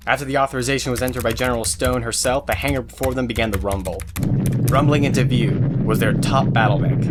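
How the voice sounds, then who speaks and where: A man's voice: mid-range voice, very noisy. A man reading scripted narration for an audio drama. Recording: loud music and effects behind. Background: loud music.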